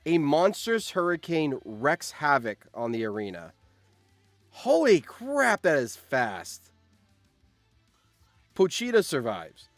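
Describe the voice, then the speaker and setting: A man live-streaming as host, reading out game results with animated, excitable commentary and surprised exclamations. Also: on the high side, slow pace, long pauses, dry recording, faint music behind.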